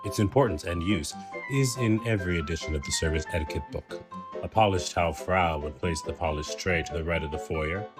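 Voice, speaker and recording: Man, very low pitch, scripted narration, formal expository delivery, prominent instrumental music backing. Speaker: co-reader of a poem.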